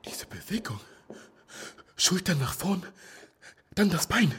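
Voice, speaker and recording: A man's voice: sits high, sing-song, slow, fairly bright tone, husky, heavily acted. A man acting in a German radio drama as an anxious young Ghanaian man living in hiding, breathless and muttering to himself. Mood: shaken.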